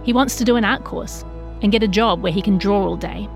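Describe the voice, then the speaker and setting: A woman's voice: mid-range voice, brisk, gravelly, faint music behind. A woman with a New Zealand accent reads a written news feature as a journalist, in scripted narration.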